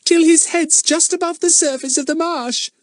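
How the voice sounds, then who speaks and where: Timid little girl, put on by a male reader, high and breathy. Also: wildly swooping pitch, slow, no room sound, heavily acted. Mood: fearful. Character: timid young girl, easily frightened.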